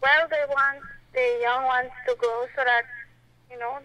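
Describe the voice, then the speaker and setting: A woman's voice: high-pitched, fairly even intonation, unhurried, pushed and bright, no room sound. A woman casually giving her opinion as a caller to a radio show, over the telephone. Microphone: telephone call. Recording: faintly noisy telephone line.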